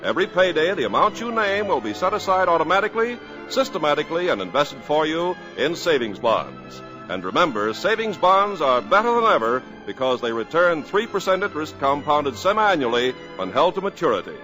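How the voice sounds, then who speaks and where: A man's voice: on the high side, big pitch swings, fairly quick, pushed and bright. A man announcing on a 1950s radio program in an emphatic, upbeat manner. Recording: old radio broadcast with faint music.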